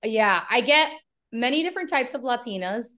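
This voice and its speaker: Cuban-American woman, comedy guest, chatty, laughs a lot, quick bursts. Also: fairly high pitch, animated, a bit bright. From Cuban background. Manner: laughs often, talks in quick bursts with many "like"s. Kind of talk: casual chat.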